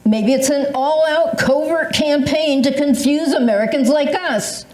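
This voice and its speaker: Woman acting high-voiced, suspicious older lady, echoey room. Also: a little slow, a little mellow. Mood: indignant, suspicious. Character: dramatic, suspicious older woman convinced of government conspiracies. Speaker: amateur readers theatre performer. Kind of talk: staged play reading.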